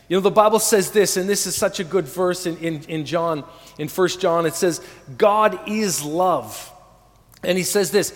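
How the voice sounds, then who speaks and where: A man's voice: sits high, big-room sound. A man in his forties preaching an earnest sermon as pastor, calling on the congregation to answer. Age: 40s. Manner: earnest, calls for congregation responses.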